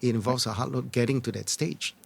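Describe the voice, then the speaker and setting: A middle-aged man answering interview questions as an experienced business leader, in measured, earnest, short deliberate phrases. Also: medium pitch, vocal fry, husky. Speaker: entrepreneur and former corporate leader, interview guest. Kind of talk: reflective conversational interview answers.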